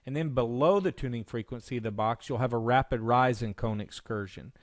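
A man presenting a software tutorial, explaining step by step in instructional screencast narration. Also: mid-range voice, fairly quick, dry recording.

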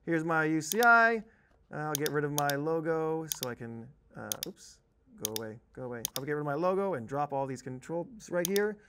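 A man in his thirties with a fairly high voice teaches software in a conversational, emphatic way. He talks as a trainer walking through an on-screen demonstration, with some noise behind him. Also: expressive, moderate pace. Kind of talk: tutorial presentation with on-screen demonstration. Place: indoor presentation studio at a desk.

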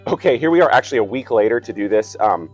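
A man in his thirties, a plant operator, talking through sampling steps in a relaxed way with lots of ums and restarts. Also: fairly low pitch, fast talker, faint music behind. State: slightly raspy from a cold. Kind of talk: instructional demonstration. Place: outdoors by trees.

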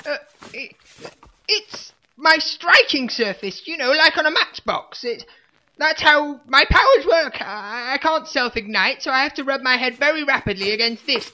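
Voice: high-pitched, expressive, moderate pace, bright, forceful tone, low-quality recording. An actor voicing a hapless, theatrically boastful wannabe supervillain in a comedy audio drama, grandiose one moment and meekly awkward the next. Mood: sheepish, awkward. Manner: grandiose threats collapsing into meek mumbling.

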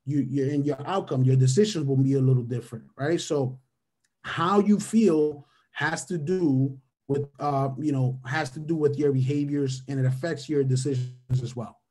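A man's voice: medium pitch, normal speed, darkish tone, husky. A man in his thirties, a native Spanish speaker from Venezuela, gives a motivational mentoring webinar over a video call. He repeats his key phrases and keeps checking in with listeners by asking "right?". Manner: ends almost every point with a questioning "right?". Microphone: webcam or headset on a video call.

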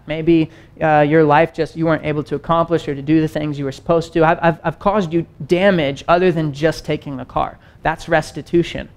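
A young man preaches in a loose, conversational way, moving around a stage as he talks. His voice sits a little higher than most men's, and his delivery stays lively and easygoing. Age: young adult. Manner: animated, conversational, gestures widely while pacing. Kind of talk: teaching sermon. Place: stage with wood-panel backdrop and screen.

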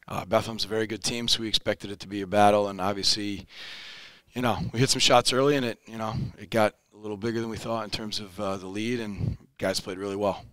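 A man in his forties with a lowish, smooth, clear voice gives an upbeat post-game interview as a basketball coach. He talks plainly and repeats words when he restarts. His headset mic in a studio set sounds dry and close. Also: steady tone, fast talker, a bit bright, no echo, low-quality recording. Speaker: high school basketball head coach. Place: indoor studio set in front of a backdrop.

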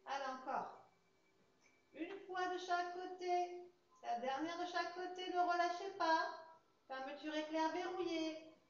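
A young woman with an English accent leading a Pilates class, calmly calling out breaths as she demonstrates, recorded from a far camera in a large studio. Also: extremely high pitch, a little slow, long pauses. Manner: calm, counts breaths while demonstrating. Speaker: Pilates instructor. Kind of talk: guided exercise class. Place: large fitness studio with hard floor, indoors. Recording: echoey studio, dull sound, faint hiss.

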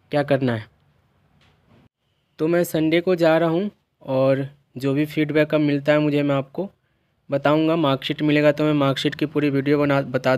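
Male vlogger, slightly high voice, dry close sound, explains and restates points for viewers. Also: darkish tone, clean tone, no room sound. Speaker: YouTuber helping open-school students.

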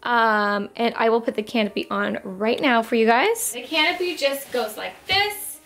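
A woman's voice: a little high, moderate pace, fairly bright tone, roomy sound, faint music behind. A young woman vlogger chatting casually as she shows off a product at home, full of "like" and "um". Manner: chatty, upbeat, filler-heavy. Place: home room with wooden floor.

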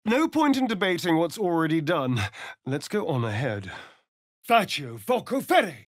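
An elegant vampire rogue for a fantasy game, speaking with a British accent. He drawls unhurriedly, his pitch swooping with mockery and flirtation. The delivery is vain, arch and openly theatrical. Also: big pitch swings, unhurried, no room sound, studio quality. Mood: purring, amused.

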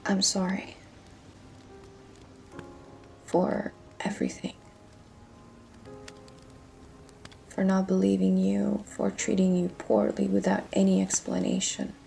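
A woman plays a weary but tender pirate captain in an audio roleplay. She speaks slowly and softly, often near a whisper, with a mellow, creaky voice. Her delivery is hushed and full of feeling. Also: mid-range voice, monotone. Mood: apologetic. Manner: hushed, slow, emotional delivery. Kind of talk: intimate audio roleplay acting. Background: faint music.

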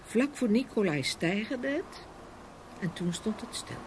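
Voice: mid-range voice, expressive, measured pace. A storyteller giving a dramatic scripted narration of a fairy tale. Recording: faint ambient noise behind voice.

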